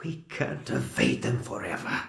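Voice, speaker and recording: A secretive, protective cathedral ally gives ominous warnings with theatrical weight. The voice is fairly high and gravelly, slow yet swooping, echoing as in a game cutscene. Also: male voice, big pitch swings, fairly bright tone, full character voice. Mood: urgent. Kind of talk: dramatic video game cutscene acting. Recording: echoey room.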